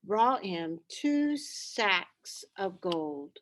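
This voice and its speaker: A woman reading a children's story aloud in an expressive, deliberate way. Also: medium pitch. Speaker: storybook reader. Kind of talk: scripted children's story narration.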